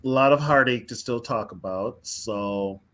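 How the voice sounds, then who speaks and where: A man's voice: mid-range voice, measured pace, sharp tone. A man co-hosting a casual live stream, chatty, laughing easily and talking to the viewers in the chat. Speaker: co-host of a fan podcast.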